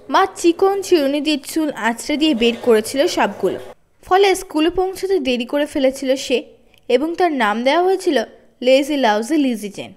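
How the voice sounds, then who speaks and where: Female storyteller for children's audiobook: high voice, lively, wide-ranging intonation.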